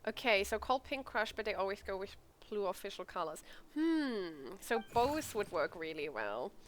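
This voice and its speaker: A woman's voice: mid-range voice, very animated, moderate pace, slight background noise. A woman streaming a game, chatting casually and reacting expressively and informally to what happens.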